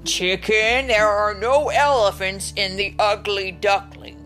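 A woman voicing a patient but exasperated Papa chicken in a picture-book read-aloud, measured, weary and skeptical. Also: medium pitch, fairly even intonation, a bit bright, soft background music.

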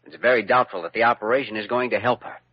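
An earnest young radio doctor. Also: male voice, fairly low pitch, steady tone, fast talker, breathy edge, dry recording. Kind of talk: 1940s radio drama acting. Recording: dull, dry lo-fi old radio broadcast.

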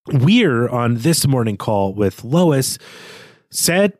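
A man's voice: medium pitch, big pitch swings, slow, a little mellow, no echo, studio quality. A man co-hosting a podcast, talking through a literary analysis in a thoughtful, hesitant, conversational way. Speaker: podcast co-host.

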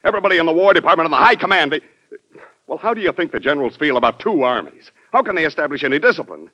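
An actor playing an earnest, worried and deferential presidential aide in an old-time radio drama. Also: male voice, fairly high pitch, big pitch swings, very fast, sharp tone, heavily acted. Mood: indignant, worried. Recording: dull lo-fi old radio recording.

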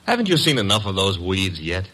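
A man's voice: deep voice, wildly swooping pitch, a little fast, bright, forceful tone, exaggerated voice. An actor playing a breezy, girl-crazy detective's assistant in an old-time radio drama, wry, cocky and teasing. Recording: noisy old radio recording.

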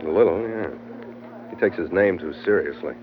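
An actor playing a tough, wry, hard-boiled sea captain in an old radio drama, terse and laconic. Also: male voice, low voice, normal speed, crowd noise, close to an everyday voice. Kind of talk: old-time radio drama acting and narration. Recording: muffled old radio, music behind.

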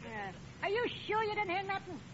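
An actor playing a self-important, suspicious working-class lodge official in an old-time radio comedy, pompous and ungrammatical. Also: male voice, extremely high pitch, very animated, brisk. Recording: muffled, noisy old radio recording with audience.

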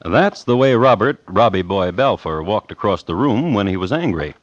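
A man plays a wry connoisseur of crime narrating a vintage radio show. His fairly low voice is measured yet richly inflected. The recording is dull and dated. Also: animated, slight background noise, close to an everyday voice. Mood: wry, deliberate. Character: dry, deliberate male crime connoisseur telling murder tales. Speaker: host and narrator. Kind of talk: scripted old-time radio narration.

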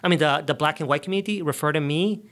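Man, from the US, slightly high voice, earnest interview guest, explanatory, halting conversational phrasing. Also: fairly bright tone. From United States, grew up in New York and the South. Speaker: immigration justice advocate, interview guest.

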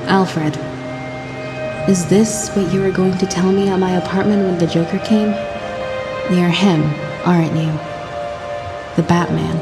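A woman's voice: fairly low pitch, steady tone, measured pace, pauses often. A woman playing a worried, searching woman in love in an audio drama, earnest and emotional. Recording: echoey room. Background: music.

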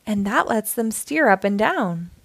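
A woman's voice: mid-range voice, animated, measured pace, fairly bright tone, slightly rough, dry recording, heavily acted. A woman voicing a helpful young girl sidekick who explains things in a storybook. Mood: explaining.

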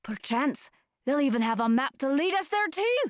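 Gentle scholarly creature in female human form, audio drama, woman actor: high voice, lively melody, formal, fussily caring. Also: normal speed. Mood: eager, optimistic. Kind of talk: fantasy audio drama acting.

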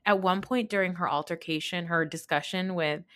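A woman's voice: lowish voice, moderate pace, fairly bright tone. A woman in her thirties hosting a podcast, giving casual, blunt and opinionated reality TV commentary with plenty of swearing and emphatic retelling. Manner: emphatic, mimics others when quoting. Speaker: podcast host.

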